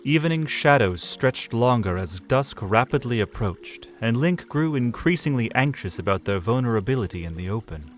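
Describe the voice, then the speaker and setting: A man narrating an audio drama in a measured storytelling manner. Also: medium pitch, expressive, soft background music. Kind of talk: scripted narration.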